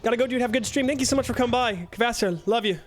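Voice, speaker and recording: A man's voice: very high voice, rapid-fire. A young man streaming a game live, chatting with his viewers in a joking, self-mocking way. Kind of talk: casual live-stream chat while playing.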